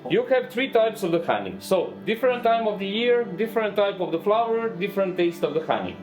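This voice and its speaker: A man's voice: sits high, expressive, brisk, a little mellow, faint music behind, poor audio. A man giving a beekeeping tour to visitors, explaining honey making with playful jokes. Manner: playful, jokes with visitors, slightly non-native phrasing.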